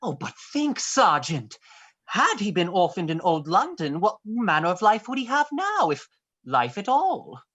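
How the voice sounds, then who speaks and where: A woman's voice: medium pitch, very animated, a little slow, fairly bright tone, slightly rough, exaggerated voice. A woman playing a gaunt, sickly young villain, a scheming man with an oily, falsely courteous manner, in a stage play reading. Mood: insinuating, sinister.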